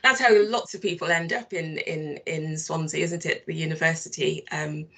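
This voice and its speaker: A woman in her fifties from Barbados, a social work manager answering interview questions in a thoughtful, measured way with frequent restarts. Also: low voice, steady tone, fairly bright tone.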